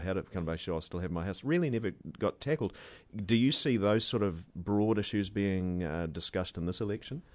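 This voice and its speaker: A man hosting a radio talk show, giving wry, scripted introductions. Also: sits low.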